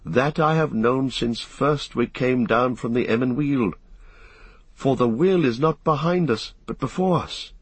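A man's voice: medium pitch, unhurried, slightly rough, no echo, voice pushed up. A man voicing a lofty male elf in a fantasy audiobook, speaking in solemn, formal, archaic phrases. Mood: grave. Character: lofty, keen-eyed male elf, urgent and foreboding.

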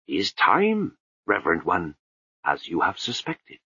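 A gruff old monk, voiced by a man, barking clipped orders at his pupil. The voice is husky and lively, heard in a dull, dry recording. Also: deep voice, measured pace, no echo, exaggerated voice. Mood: stern hissing. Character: stern old male sweeper-monk, gruff teacher.

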